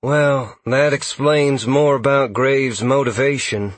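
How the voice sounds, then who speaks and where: A man plays a fierce female adventurer in a fantasy audiobook. The voice is pushed higher and comes out forceful, bitter and accusing. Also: steady tone, slow pace, dry recording. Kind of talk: audiobook character dialogue.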